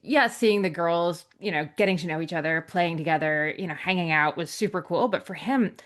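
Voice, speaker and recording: A woman hosts a podcast in easy, rambling conversation, full of ums and restarts. Her voice sits a little low for a woman. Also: fairly quick, a bit bright. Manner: chatty, rambling with many fillers. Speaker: podcast host. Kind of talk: casual podcast chat.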